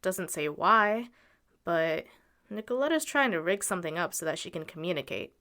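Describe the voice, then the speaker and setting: A woman's voice: on the low side, animated, normal speed, bright, forceful tone. A woman playing a practical, wary travelling musician with dry wit in an audio drama. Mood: matter-of-fact.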